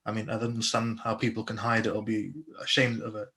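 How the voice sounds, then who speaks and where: A man's voice: fairly low pitch, monotone, fast, bright, forceful tone. A young adult man from Slovakia, a police constable, speaking earnestly on a video call interview with many restarts.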